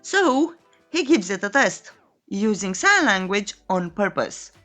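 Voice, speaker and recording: A woman's voice: medium pitch, very animated, takes it slowly, pushed and bright, soft background music. A woman reading a scripted story recap as a narrator, in a playful, tongue-in-cheek way. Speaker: narrator of a comic recap channel.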